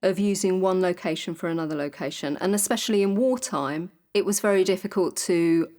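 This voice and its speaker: Lower-pitched woman explaining in interview. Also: brisk, dark tone. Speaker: interviewed commentator on newsreel history.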